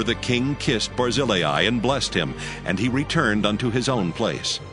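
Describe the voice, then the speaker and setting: A man narrating scripture as scripted, solemn storytelling. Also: lowish voice.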